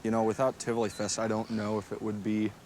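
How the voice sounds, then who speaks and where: A man's voice: fairly low pitch, completely flat delivery, quick pace, darkish tone. A man, a festival volunteer chatting in an easygoing, agreeable way. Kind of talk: casual chat. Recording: echoey with faint noise.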